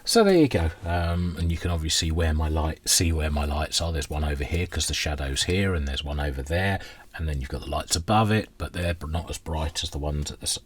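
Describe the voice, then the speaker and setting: A man's voice: very deep voice, expressive, a little fast, husky. A middle-aged man chatting in a rambling, self-deprecating way as he gives a casual unscripted demonstration at his workbench. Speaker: hobbyist presenter restoring vintage radios. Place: indoor workbench.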